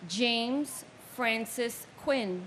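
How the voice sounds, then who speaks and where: Woman with a slightly high voice, reading names very slowly and deliberately at a memorial. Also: a bit bright, a little hiss or hum, low-quality recording. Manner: very slow, deliberate and solemn. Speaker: name reader. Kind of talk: memorial ceremony name reading.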